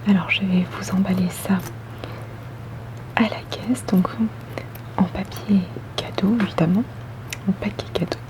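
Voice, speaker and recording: A woman whispering softly and breathily in an ASMR roleplay as a polite, attentive boutique saleswoman, gentle and unhurried. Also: mid-range voice, fairly even intonation, noisy background. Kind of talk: ASMR roleplay, customer service.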